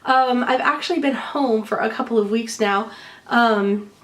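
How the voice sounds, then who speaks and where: A woman's voice: on the high side, measured pace, fairly bright tone, far from the mic. A woman chatting casually to camera with a stuffy nose as she recovers from bronchitis, cheerful and full of ums. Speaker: vlogger showing a shopping haul.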